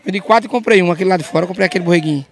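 A man's voice: high-pitched, racing. A man, a livestock seller chatting casually at an outdoor market and agreeably echoing the host. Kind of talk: casual chat.

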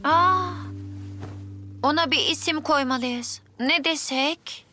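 A woman voicing a cheerful, excitable little boy in a children's cartoon, with a childlike delivery. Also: high voice, slow, heavily acted. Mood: curious. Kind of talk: children's cartoon dub acting. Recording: music behind the voice.

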